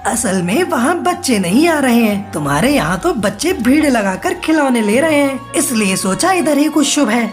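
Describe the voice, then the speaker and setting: A woman's voice: sits high, big pitch swings, moderate pace, hardly any pauses. A woman voicing a sly, greedy male crow toy seller in a children's cartoon. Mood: suspicious. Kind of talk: Hindi children's cartoon dubbing. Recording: music behind the voice.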